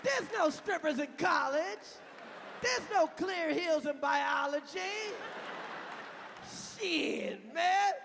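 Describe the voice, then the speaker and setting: Thirties guy yelling stand-up into a mic, real high raspy voice, loud crowd in a big echoey hall. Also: slow, background noise. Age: 30s. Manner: loud, shouting punchlines, chanting lyrics. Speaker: stand-up comedian. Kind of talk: stand-up comedy set. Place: large venue on stage.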